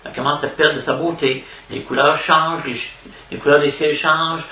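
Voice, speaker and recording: A man with a Québécois French accent giving an informal spiritual lecture as a devotional preacher, haltingly, repeating words and adding little question tags. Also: fairly high pitch, fairly even intonation, pushed and bright. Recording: echoey room, distant mic, faint noise.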